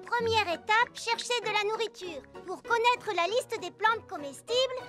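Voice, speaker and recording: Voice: extremely high pitch, normal speed. A performer voicing an earnest, cautious young boy woodpecker scout in a French cartoon dub, careful and bookish. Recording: loud cartoon music behind.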